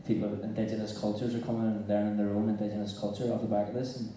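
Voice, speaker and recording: A man talking earnestly and haltingly as a guest on a live panel. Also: fairly low pitch, little pitch movement, mellow. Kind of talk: live onstage panel talk. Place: large indoor venue before an audience. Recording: echoey hall from far off.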